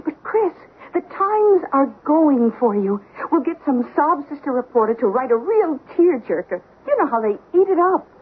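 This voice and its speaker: An American actress playing a scheming, persuasive young wife in a 1970s radio drama, coaxing and insistent. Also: female voice, high voice, very animated, moderate pace, few pauses. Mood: persuasive, dramatic. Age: young adult. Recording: tinny, noisy old radio broadcast recording.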